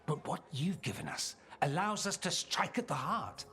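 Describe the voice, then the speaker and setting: A man's voice: on the high side, big pitch swings, normal speed, sharp tone, faint music behind, heavily acted. A man playing a suave, witty noble mage and political radical in a video game, wry, eloquent and theatrical. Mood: forceful, insistent. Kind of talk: video game drama acting.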